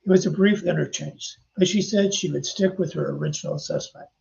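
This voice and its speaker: A man's voice: on the high side, fairly quick, vocal fry, darkish tone, muffled recording. An older man hosting a podcast, telling chatty anecdotes and speaking directly to his listeners. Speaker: podcast host and author. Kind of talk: casual storytelling narration.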